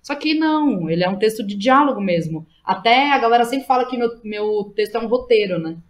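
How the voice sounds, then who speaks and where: A woman with a São Paulo Brazilian accent speaking as a guest playwright, telling stories in a casual, slangy, animated way and often quoting herself. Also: fairly high pitch, brisk. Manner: animated storytelling, slangy, quotes herself and others. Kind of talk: casual conversational interview.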